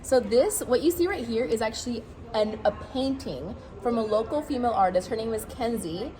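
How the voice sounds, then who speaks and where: A woman from Colombia, a brand co-founder, giving an energetic product pitch to camera in a warehouse. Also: brisk, low-quality recording. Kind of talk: upbeat product pitch video.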